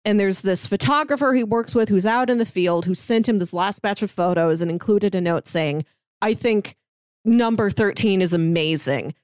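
A woman's voice: medium pitch, moderate pace, a little mellow, clear, resonant tone, no echo. Chatty woman cohost, enthusiastic, casual podcast talk, very dry close sound. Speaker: podcast co-host pitching movies. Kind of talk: casual chat.

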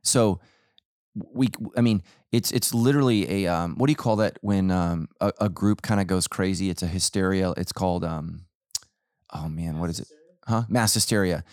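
A man's voice: low-pitched, moderate pace, darkish tone, no echo, clean studio sound. An American man talking casually as a podcast host, telling things in a conversational, self-interrupting way. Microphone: close podcast microphone.

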